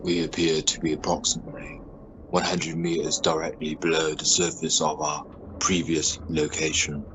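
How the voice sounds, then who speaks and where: A man playing a calm, practical astronaut in an amateur radio play, speaking in a matter-of-fact way. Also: rumbling low, normal speed. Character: calm, practical male astronaut on stranded crew. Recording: dull home-made recording, clear background noise.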